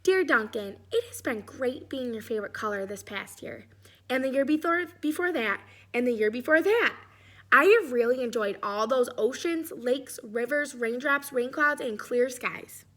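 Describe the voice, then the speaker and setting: Storybook crayon, small, overworked and weary, fairly high voice, played by a woman reader. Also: animated, normal speed. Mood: weary, pleading. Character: worn-out short stubby Blue Crayon, overworked favorite.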